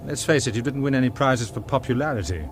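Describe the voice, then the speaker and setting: A man's voice: medium pitch, a little hiss or hum, lightly acted. Man acting dry, needling spy boss. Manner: unhurried, deadpan, needling. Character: cool, dryly cynical male intelligence department official. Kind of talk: British radio drama acting.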